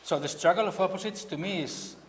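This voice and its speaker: A man from Barcelona speaking English with a Spanish accent, a painter giving a halting gallery talk who repeats words as he searches for them. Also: high voice, slight background noise. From Spain. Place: gallery hall. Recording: long hall reverb, faint ambience.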